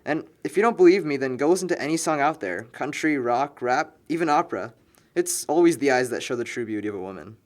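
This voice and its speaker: A young man, acted by a man, chatting naturalistically with a buddy in a film drama. He is wry and talkative, spinning out slangy stories with emphasis at an ordinary male pitch. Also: lively intonation, fast, close to an everyday voice. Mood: opinionated. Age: young adult. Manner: slangy, rambling, emphatic storytelling. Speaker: actor in a scripted film.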